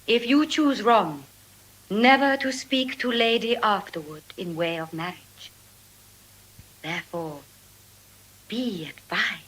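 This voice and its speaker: A woman's voice: mid-range voice, fairly bright tone, rough recording. An actress playing a poised, dutiful young noblewoman, speaking formal Shakespearean verse with composure. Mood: grave. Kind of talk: measured Shakespearean verse acting.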